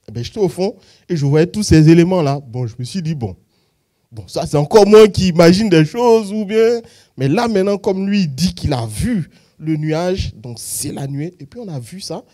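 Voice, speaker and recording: A man's voice: on the high side, warm tone. Hesitant man testifying in church. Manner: hesitant, many fillers and restarts. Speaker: church member giving testimony. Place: church hall.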